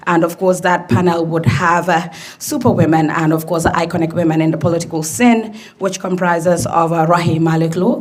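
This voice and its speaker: A hoarse woman with a Gambian accent, a radio presenter moderating a formal launch event at a conference centre in an upbeat, chatty way. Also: lowish voice, darkish tone. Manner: upbeat, chatty, with many fillers. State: hoarse from a flu.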